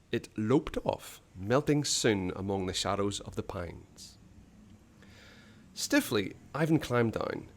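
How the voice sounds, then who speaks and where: A man reading a children's adventure story aloud in a dramatic, suspenseful way, with hushed phrase endings. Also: mid-range voice. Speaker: storybook reader.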